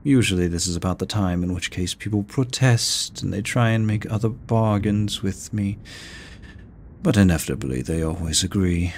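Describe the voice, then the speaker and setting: A man's voice: low-pitched, darkish tone, a little hiss or hum. A man voicing a seductive, courteous immortal incubus demon in a roleplay audio drama, speaking to the listener in a silky, theatrical way. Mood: amused, matter-of-fact. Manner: slow, silky, theatrical, courteous with drawn-out words. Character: seductive, teasing male incubus demon, immortal deal-maker.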